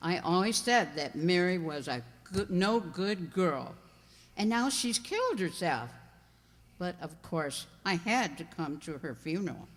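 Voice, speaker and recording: Man as a high-voiced, sanctimonious village spinster. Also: expressive, a little slow, a bit bright. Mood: prim disapproval. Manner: prim, judgmental. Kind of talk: radio play acting. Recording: echoey hall.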